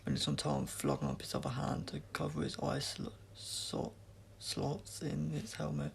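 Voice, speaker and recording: A man's voice: fairly high pitch, monotone, unhurried, gravelly, slight background noise. A man reading an adventure story aloud as a dramatic audiobook narration. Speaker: audiobook reader.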